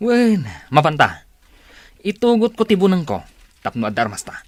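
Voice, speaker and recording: A man's voice: on the high side, wildly swooping pitch, measured pace, no room sound. A man with an Ilocano accent acting a blustering, boastful village father in a radio comedy drama.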